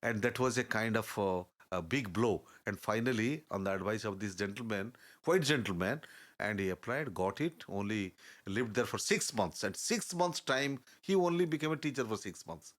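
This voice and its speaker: An older man, a guest telling stories in a halting, earnest way, often pausing to search for words. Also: on the low side, expressive. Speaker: interview guest. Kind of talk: reflective storytelling in conversation.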